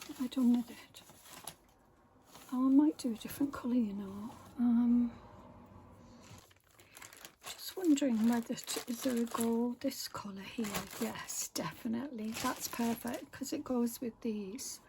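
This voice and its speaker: A woman vlogging casually while she stitches at home, rambling softly with many pauses, her voice croaky from a sore throat. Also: fairly high pitch, a little hiss or hum. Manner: soft-spoken, rambling, many pauses and fillers, often half-whispered. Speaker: craft vlogger. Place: home room with window open.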